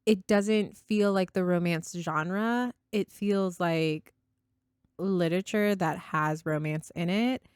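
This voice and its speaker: A woman's voice: fairly low pitch, slow, a little mellow, clean tone, dry recording. Enthusiastic woman chatting, close and dry. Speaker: podcast co-host. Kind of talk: casual book discussion.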